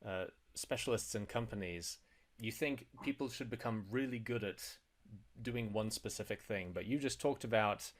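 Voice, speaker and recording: A man's voice: lowish voice, moderate pace. A man hosting a podcast, asking his guest conversational, probing questions. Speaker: podcast host. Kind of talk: podcast interview and self-promotion.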